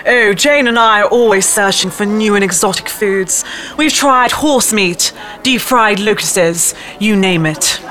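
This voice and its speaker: A woman's voice: mid-range voice, measured pace, fairly bright tone, close to an everyday voice. A woman playing a jovial, adventurous foodie husband in a comedy sketch. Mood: boastful.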